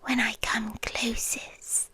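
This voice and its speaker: A British woman playing an obsessive, possessive girlfriend in an ASMR roleplay, whispering softly and intimately close to the microphone. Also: on the high side, level tone, full character voice. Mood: menacing intimacy. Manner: slow, breathy, intimate whispering mixed with soft voice. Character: obsessive, possessive yandere girlfriend lurking under the bed. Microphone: close, dry microphone.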